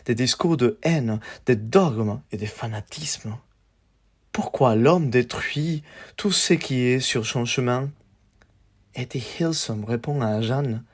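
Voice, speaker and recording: A man gives a spoken-word poetry recital at a slow pace. His delivery is measured and declamatory, broken into short phrases with pauses between them. His voice sits at a medium pitch for a man.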